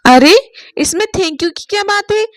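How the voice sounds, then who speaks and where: A woman voicing a capable, caring elder sister-in-law with an instructive, gently firm manner, in storybook dialogue. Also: very high voice, expressive, measured pace, no room sound, clean studio sound, voice pushed up, exaggerated voice. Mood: reassuring.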